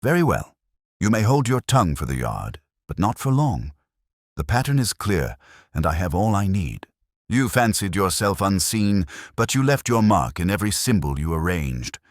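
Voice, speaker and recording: Man acting as a composed Victorian sleuth, extremely low voice, animated swoops, theatrical, precise, storybook detective. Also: moderate pace, dry recording, clean studio sound. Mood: commanding, triumphant. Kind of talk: audiobook detective story dialogue.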